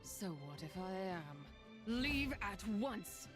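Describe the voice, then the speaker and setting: Haughty otherworldly game character, slow and solemn, sweeping intonation. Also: female voice, medium pitch, big pitch swings, music in the background. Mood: scornful warning. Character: imperious, menacing supernatural being scorning mortals. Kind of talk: video game voice acting.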